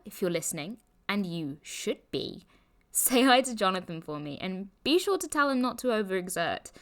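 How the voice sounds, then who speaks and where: A woman's voice: mid-range voice, natural voice. A woman acting in an audio drama as a playful, teasing, breezy young woman chatting to friends. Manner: bubbly, teasing. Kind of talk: audio drama, podcast-style voice message.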